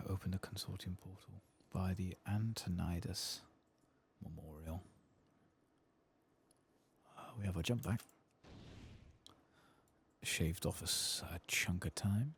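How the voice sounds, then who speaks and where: A man giving soft-spoken, murmured ASMR commentary while playing a video game, with a husky, breathy voice. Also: low-pitched, a little hiss or hum. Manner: quiet, murmured, unhurried.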